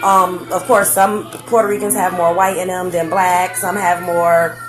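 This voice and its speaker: A young woman, chatting excitedly on a live stream, full of slang and "like"s and "um"s. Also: fairly low pitch, a little slow, music in the background, low-quality recording. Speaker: vlogger. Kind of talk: casual facebook live chat.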